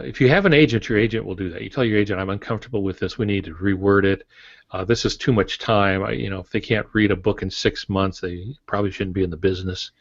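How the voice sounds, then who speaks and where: An American man in his sixties giving plain-spoken, halting advice in a conversational podcast style on a video call. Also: lowish voice, gravelly. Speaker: guest author and publishing expert. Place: home office, indoors. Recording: dull, roomy video-call audio.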